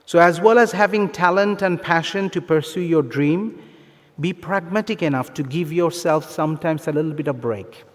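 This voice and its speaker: A man with a fairly high voice delivers a formal ceremonial speech over a long hall reverb. He sounds earnest and encouraging, setting out measured phrases with emphatic pauses, like an official addressing graduates. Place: large conference hall, live graduation ceremony.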